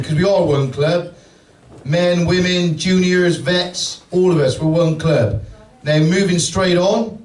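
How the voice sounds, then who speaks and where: High-voiced man hosting an awards night. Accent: English. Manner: chatty, laughing asides. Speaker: master of ceremonies. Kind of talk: awards presentation. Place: large function room at a dinner. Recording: echoey room, distant mic.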